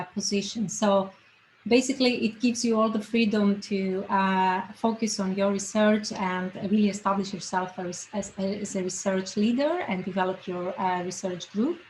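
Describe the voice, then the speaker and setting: A woman from Greece with a Greek accent gives an informal online presentation as a research fellow, in a halting, hesitant way with frequent ums. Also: medium pitch. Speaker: research fellow, invited panel speaker. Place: online video call. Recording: noisy video call audio.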